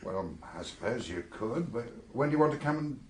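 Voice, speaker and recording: An actor playing a sceptical British chief superintendent in a radio drama, speaking in a brisk, questioning, official way. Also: male voice, mid-range voice, lively intonation, darkish tone, natural voice. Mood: official, surprised. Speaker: police superintendent character. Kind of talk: BBC radio drama acting.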